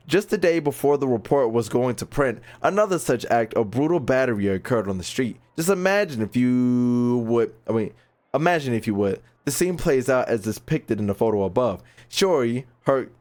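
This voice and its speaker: Man, gaming YouTuber, medium pitch, casual playthrough commentary, slangy, jokey, reads dialogue aloud. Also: darkish tone.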